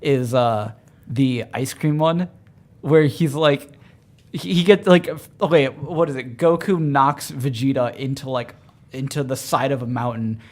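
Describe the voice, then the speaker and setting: A man chatting casually in a group, a halting, excitable fan who keeps restarting his sentences. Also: medium pitch. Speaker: podcast panelist.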